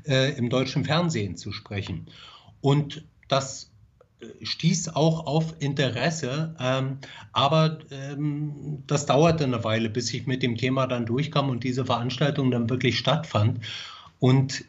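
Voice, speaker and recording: A man answers interview questions as a screenwriter, pausing often with fillers as he thinks. His voice is of middling pitch, and the recording sounds dull and lo-fi. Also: husky. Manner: hesitant, many fillers.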